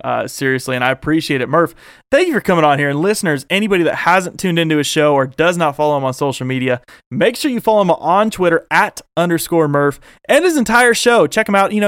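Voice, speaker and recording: A man's voice: on the high side, a bit bright, clean tone, no echo, studio quality. A man hosting a casual fan podcast, enthusiastic and rambling, laughing often, his voice getting a little worn. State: losing his voice. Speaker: podcast host.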